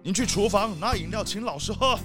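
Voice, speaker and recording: High-pitched, self-important leopard big shot played by a man. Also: lively intonation, a little fast, music in the background. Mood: flustered, impatient. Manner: self-important, breezy, dismissive. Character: vain, impatient, rich male leopard big shot, a father. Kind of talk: children's audio drama acting.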